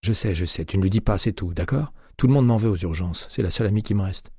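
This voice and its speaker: A man reading an audiobook, voicing a determined, quick-thinking woman accomplice with a brisk, conspiratorial manner. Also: fairly low pitch, expressive, warm tone, slightly rough, no echo, studio quality, pitched above the performer's own voice. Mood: pleading.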